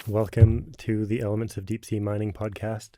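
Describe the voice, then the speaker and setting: A man hosting a podcast interview, talking casually and haltingly, with lots of restarts. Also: lowish voice, monotone, over loud music. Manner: halting, many fillers and restarts. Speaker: podcast host.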